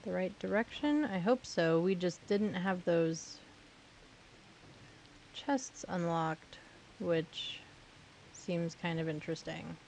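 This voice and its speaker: A woman streaming a game, slightly hoarse, talking to herself with dry asides as she plays. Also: on the low side, takes it slowly, pauses often, a little mellow, a little hiss or hum, low-quality recording. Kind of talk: casual gameplay commentary.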